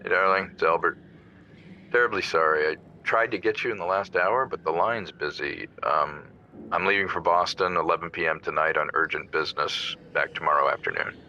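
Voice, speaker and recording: Breezy sorry husband on voicemail, audio drama, very bright edgy voice, muffled recording. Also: male voice, sits low, fairly quick, exaggerated voice. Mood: breezy, apologetic. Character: breezy, apologetic husband leaving a phone message. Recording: dull phone message, faint noise.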